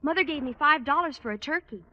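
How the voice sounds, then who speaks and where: A woman's voice: sits high, fast talker, natural voice. An American woman acting a sweet, earnest, naive teenage schoolgirl in an old radio sitcom. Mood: cheerful. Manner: chirpy, earnest. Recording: muffled old radio broadcast.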